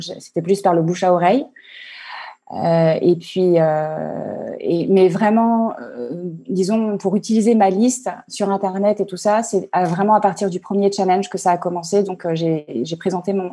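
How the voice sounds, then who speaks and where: Woman, husky, mellow dark tone, hesitant, many fillers, video call interview. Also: fairly low pitch. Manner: halting, many fillers and restarts. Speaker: online coach and entrepreneur.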